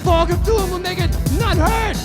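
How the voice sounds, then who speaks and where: A man voicing a gleeful, flesh-eating zombie lord puppet in a theatrical comic act, with a gravelly voice and a grandiose, menacing manner. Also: very high voice, steady tone. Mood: gleeful, singing. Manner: mock-grandiose, menacing, drawn-out delivery. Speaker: puppet show performer and singer. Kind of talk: theatrical comic monologue and song. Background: music.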